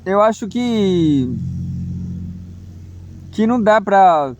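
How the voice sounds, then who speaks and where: A man's voice: high-pitched, lively intonation, drawn-out words, a little mellow, lo-fi sound. A man, rambling through a solo political monologue, full of hesitations and restarts. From Brazil. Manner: hesitant, many fillers and restarts. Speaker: political commentator.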